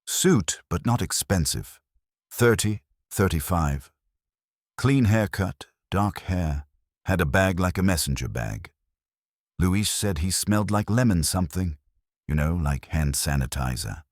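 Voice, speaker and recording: Audiobook bit part: obliging everyday guy, done by a male narrator, choppy phrasing, animated intonation, dry sound. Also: low-pitched, unhurried, no room sound. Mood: helpful. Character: casual, obliging male witness recalling details. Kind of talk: audiobook dialogue.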